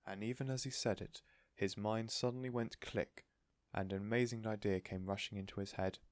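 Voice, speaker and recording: A man's voice: fairly low pitch, fairly even intonation, normal speed, no room sound, clean studio sound. A man reading a children's story aloud as scripted audiobook narration. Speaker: audiobook reader.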